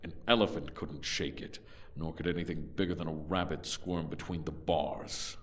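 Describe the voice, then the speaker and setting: An actor playing a huge, blunt, fierce barbarian warrior in a fantasy audio drama, speaking tersely and matter-of-factly. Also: male voice, extremely low pitch, fairly even intonation, normal speed, recorded from a distance, slight background noise. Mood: grim.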